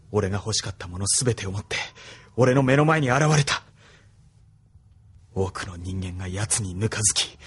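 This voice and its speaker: A man playing a bitter, envious young male officer who talks in a brooding, hushed way that drops to whispers, in an anime audio drama. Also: medium pitch, breathy edge.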